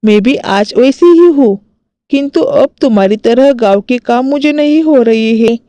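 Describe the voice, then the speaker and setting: A woman's voice: a little high, unhurried, dark tone, no room sound, lightly acted. A woman voicing a complaining older village mother-in-law with aching knees in a cartoon story. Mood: modest. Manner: complaining, protesting.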